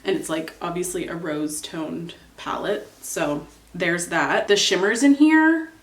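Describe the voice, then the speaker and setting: A woman's voice: fairly low pitch, animated, measured pace, roomy sound. A young woman from Canada, congested and nasal from a cold, chatting casually while she does her makeup. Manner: chatty, rambling, filler-heavy. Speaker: beauty vlogger.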